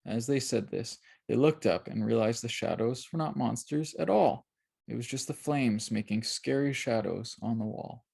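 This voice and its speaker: An author reading a children's book aloud as scripted narration, in a dramatic, expressive storytelling manner. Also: medium pitch, moderate pace, gravelly, breathy edge.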